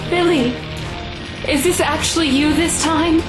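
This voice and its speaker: Anxious, pleading mother, acted by a woman, very high register, earnest, radio-play style, clear music underneath. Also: measured pace. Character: worried, pleading mother of a dangerous teenage son. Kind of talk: audio drama acting.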